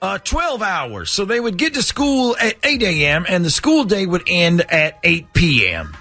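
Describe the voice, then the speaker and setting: A man playing an earnest, clueless school planning official on a prank phone call, polite, hesitant and deadpan. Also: high-pitched, a little slow, faint music behind, exaggerated voice. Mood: earnest, businesslike. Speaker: radio show prank caller.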